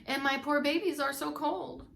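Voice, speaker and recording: A woman voicing a small, squeaky mother field mouse in a storybook, fretful and grateful. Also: steady tone, moderate pace, vocal fry, fairly bright tone, slight background noise. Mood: worried.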